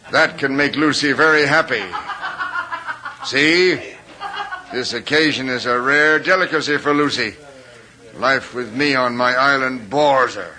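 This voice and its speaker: Sharp, bitter island husband. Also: male voice, medium pitch, low-quality recording. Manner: sardonic, theatrical, ornate phrasing. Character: bitter, world-weary island husband guarding hidden gold. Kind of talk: old-time radio drama acting. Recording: old radio recording with faint hiss.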